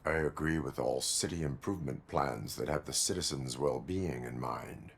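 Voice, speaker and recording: An actor playing a stoic cyborg policeman in a video game, with deadpan, formal, clipped delivery. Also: a bass voice, moderate pace, gravelly, heavily acted. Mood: stoic, flat. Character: stoic armored cyborg policeman, dutiful and unyielding. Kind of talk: dramatic video game cutscene acting.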